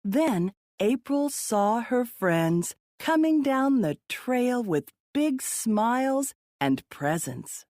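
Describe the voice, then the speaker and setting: A woman reading children's stories aloud in a deliberate, expressive way for young listeners. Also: on the high side, dry recording, clean studio sound. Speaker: children's storybook reader. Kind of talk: read-aloud children's story narration.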